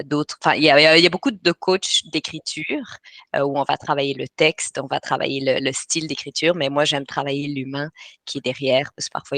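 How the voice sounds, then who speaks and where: A woman in her thirties with a Belgian French accent, hosting a webinar for aspiring authors. She talks in quick bursts with lots of fillers and restarts, and goes soft and slow when she guides a visualization. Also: sits low, dry recording. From Belgium (Brussels). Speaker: writing coach and webinar host. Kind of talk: live webinar presentation. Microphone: video call.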